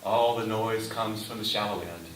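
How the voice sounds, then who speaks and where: A man preaching a sermon in a deliberate way, with rhetorical questions and emphatic short phrases. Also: on the low side. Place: reverberant indoor room before a congregation. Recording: echoey room, distant mic, faint hiss.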